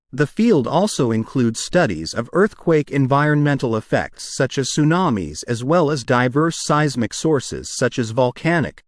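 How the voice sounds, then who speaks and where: A man reads academic practice passages in a mid-pitched voice with animated intonation. His delivery is measured and carefully enunciated. The sound is close and dry. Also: no echo. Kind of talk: scripted read-aloud of academic practice texts.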